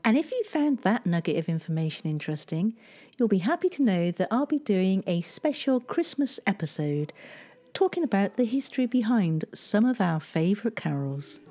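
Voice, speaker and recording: A woman hosting a history podcast, telling past events in a measured, scripted storytelling delivery. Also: medium pitch, very animated, dark tone.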